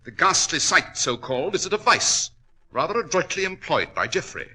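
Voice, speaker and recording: An actor playing a shrewd, composed English detective with a British accent and a commanding, precise, clipped delivery in 1940s radio style. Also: male voice, a little high, sing-song, normal speed, pushed and bright, husky, heavily acted. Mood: coolly incisive. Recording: vintage 1940s radio recording.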